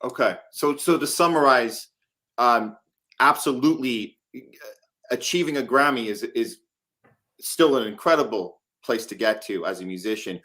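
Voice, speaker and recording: A man's voice: medium pitch, moderate pace, sharp tone. Conversational male interview host.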